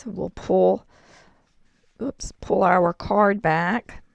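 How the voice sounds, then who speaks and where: A woman with a hoarse voice, explaining a card-making project step by step in a chatty way. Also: on the low side, fairly even intonation, extremely slow, dry recording. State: hoarse, throat-clearing. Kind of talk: crafting tutorial.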